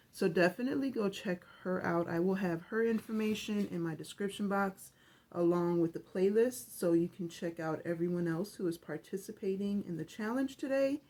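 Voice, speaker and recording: A woman's voice: fairly low pitch, a little mellow. A woman hosting a casual craft tutorial, chatty and rambling, her voice scratchy from the early morning. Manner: chatty, rambling, self-correcting. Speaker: craft channel host.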